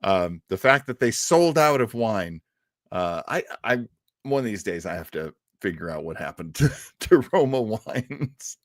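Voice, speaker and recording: A man hosting a show who chats casually and rambles, laughing as he goes. His pitch moves about a lot, and he talks at a slow pace. The sound is dry and close. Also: big pitch swings, dry recording. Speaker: podcast host. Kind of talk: casual chat.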